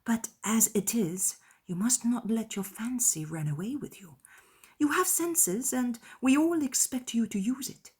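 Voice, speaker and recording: A woman voicing a sensible middle-aged aunt in an audiobook drama, speaking with measured, kindly and confiding earnestness. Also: on the high side, animated, a little mellow, slightly rough, voice pushed up. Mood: earnest, cautioning. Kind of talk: dramatized audiobook character dialogue.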